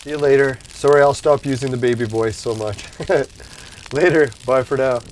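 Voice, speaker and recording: A man with a middle-pitched voice that is wearing out, chatting loosely with his stream viewers. He rambles in a laid-back way and laughs often. Faint noise sits under the voice. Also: moderate pace. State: losing his voice. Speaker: livestream host. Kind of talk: casual chat with live viewers.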